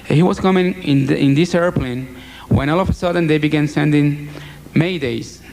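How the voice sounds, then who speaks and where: Gravelly Puerto Rican man over background noise. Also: on the high side, normal speed, a little mellow. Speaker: UFO researcher recounting a case. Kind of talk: spoken account with quoted messages. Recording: noisy recording with ambient sound.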